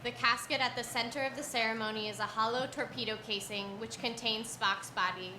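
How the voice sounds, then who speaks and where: A woman's voice: a little high, fairly even intonation, brisk. A woman reading stage directions as scripted narration at a live performance. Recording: echoey live stage with loud music. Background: music.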